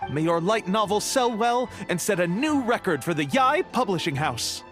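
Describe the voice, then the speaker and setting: A man's voice: sits high, lively intonation, moderate pace, a bit bright, soft background music. A man voicing a friendly, slightly rambling young editor in a video game.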